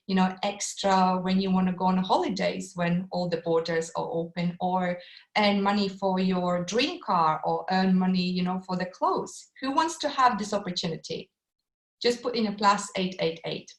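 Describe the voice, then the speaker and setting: A woman with a Latvian accent gives an eager online sales talk, her lines full of um and uh. Her voice sits at an ordinary middle pitch. She is heard over a video call from a room with noticeable echo. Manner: enthusiastic, with frequent um and uh fillers. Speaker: webinar presenter.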